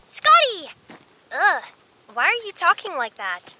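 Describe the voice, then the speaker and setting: A performer voicing an excitable, dramatic young-sounding dinosaur princess in a children's audio drama, theatrical and exasperated. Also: extremely high pitch, slow pace, no room sound, full character voice.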